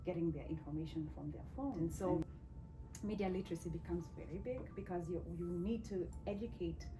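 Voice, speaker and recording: Woman, low-pitched, measured explainer, music behind. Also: low-quality recording. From Kenya. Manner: measured, explanatory, frequent "right?" tags. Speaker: grant funder guest. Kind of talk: interview answers.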